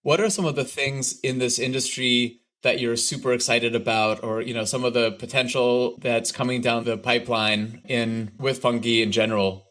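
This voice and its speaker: A man's voice: mid-range voice, flat delivery, normal speed, few pauses, a bit bright. A man hosting a podcast, interviewing guests in a conversational way. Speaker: podcast host.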